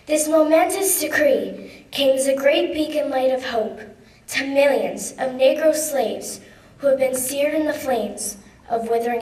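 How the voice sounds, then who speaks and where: A distant, echoing recording of a young woman with a high voice. She reads formal oratory aloud as a student at a public event. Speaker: student reader. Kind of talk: dramatic reading of a historic speech. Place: large echoey room at a public ceremony. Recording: echoey hall, distant microphone.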